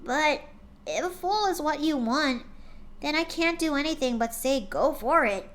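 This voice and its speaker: A woman voicing a brash, sporty young female pegasus pony who talks loudly and energetically. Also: high voice, a little slow, pitched above the performer's own voice. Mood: earnest. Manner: loud and energetic.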